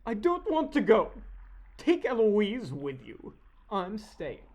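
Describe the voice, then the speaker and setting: A man playing a jealous, wounded vampire in a dark comedy audio drama, prim and theatrically indignant. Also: high-pitched, huge pitch swings, slow, heavily acted. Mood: sulky, cold. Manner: indignant, pouting, melodramatic.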